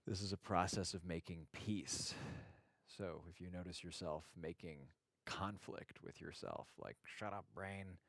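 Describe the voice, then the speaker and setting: A man with a very low voice and plenty of vocal fry guides a meditation in a conversational way. His manner is gentle and reflective, with halting phrases and long pauses. The sound is dry, with hardly any room to it. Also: no room sound.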